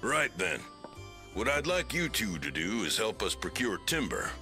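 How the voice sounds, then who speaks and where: An actor playing a practical, plain-spoken railway boss with a brisk, businesslike manner in a video game cutscene. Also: male voice, on the low side, sing-song, sharp tone, faint music behind, exaggerated voice.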